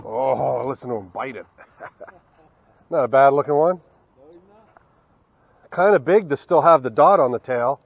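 A man's voice: mid-range voice, measured pace, gravelly, long pauses, breathy edge, dry recording. A young man with a Southern US accent, talking casually to the camera while fishing from a small boat, muttering asides and cursing at fish. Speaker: fishing channel host. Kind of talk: casual fishing vlog commentary. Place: small boat on a wooded river outdoors. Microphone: action camera on the boat. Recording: slightly dull outdoor camera audio.

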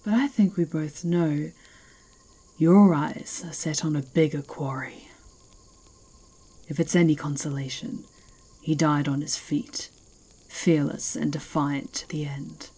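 Woman playing female werewolf leader, low and dark, proud, commanding, taunting, ASMR fantasy roleplay. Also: fairly even intonation, breathy edge, heavily acted. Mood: taunting, measured. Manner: slow, taunting, imperious.

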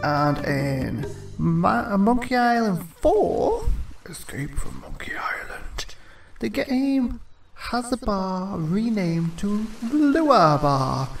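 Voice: mid-range voice, very animated, very slow, gravelly, recorded from a distance, faint music behind. A gamer giving casual, chatty commentary while playing, playfully reading lines aloud. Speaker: gamer, let's play host.

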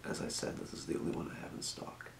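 A man playing a calm fantasy potion shopkeeper in a breathy, measured, courteous whisper for an ASMR role play. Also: roomy sound, slight background noise, lightly acted. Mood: calm, helpful. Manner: measured, murmuring, courteous merchant patter. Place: small indoor room.

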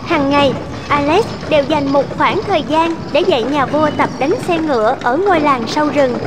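A woman narrating a fairy tale in speech, in a measured storytelling delivery. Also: high-pitched, noisy background. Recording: music behind the voice. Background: background music.

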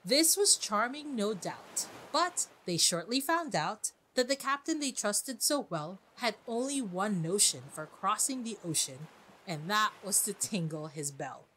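A woman's voice: medium pitch, lively intonation, measured pace, fairly bright tone, slight background noise, low-quality recording. A woman hosting a podcast, giving chatty solo commentary on literature with wry, playful asides. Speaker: podcast host.

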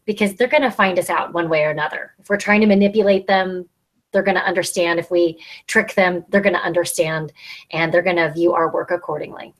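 A woman's voice: lowish voice, fairly even intonation, brisk. A middle-aged woman giving conversational advice as a panelist on a video call. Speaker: podcast panelist. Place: room at home, video call. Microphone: earbuds on video call.

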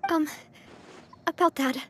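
An actress playing a young gang leader in a video game, candid and composed, with a measured, gentle way of talking. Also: female voice, slight background noise, natural voice. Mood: somber. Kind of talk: video game character dialogue.

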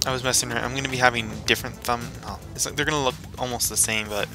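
A man's voice: mid-range voice, fairly even intonation, rapid-fire, music in the background. A man hosting a gaming video, rambling casually and talking to himself as he plays. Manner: rambling, talks to himself while playing. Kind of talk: casual let's play commentary.

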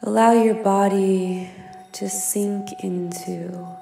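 Very slow, reverberant woman guiding meditation. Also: mid-range voice, steady tone, gravelly. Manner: soft, soothing, unhurried with long pauses. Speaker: meditation guide. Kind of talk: guided meditation. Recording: long echoing reverb. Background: singing bowls and ambient music.

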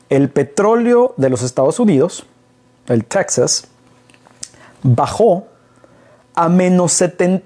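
A man's voice: on the high side, mellow. A middle-aged Mexican man, a business consultant, explaining things to camera from an armchair in a living room, asking rhetorical questions as he goes. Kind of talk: casual business talk to camera. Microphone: far camera.

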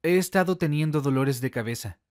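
A man reading Spanish translations of phrases for an English lesson, one short line at a time in a plain, brisk way. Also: a little high, no echo, clean studio sound.

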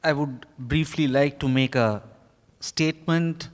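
An Indian man in his fifties speaks as an author addressing an event from notes. He is very deliberate and unhurried, halting between short phrases. His voice is smooth and resonant, carried by a microphone in a reverberant hall. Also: mid-range voice. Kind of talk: formal prepared speech from notes. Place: stage in a large hotel ballroom. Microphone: handheld microphone.

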